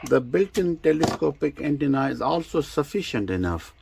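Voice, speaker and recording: A man giving a casual hands-on product demonstration as a review presenter, halting and thinking aloud as he goes. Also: a little high, sing-song, vocal fry, a little mellow, slight background noise. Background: shortwave radio sounds.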